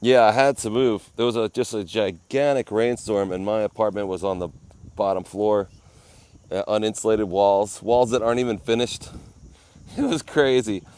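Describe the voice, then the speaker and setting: A man's voice: lowish voice, fairly even intonation, normal speed, darkish tone, clear, resonant tone, dry recording. A man livestreaming outdoors from a phone with an ear-worn mic, chatting casually, rambling, and greeting viewers by name. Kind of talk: casual live-stream chat. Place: outdoors at a garden cafe.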